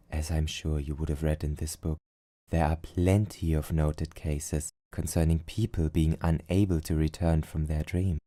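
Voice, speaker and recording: Stern anime-dub doctor male actor: extremely deep and dark, grave, admonishing, dry close sound. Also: no room sound. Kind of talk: anime-style manga dub acting.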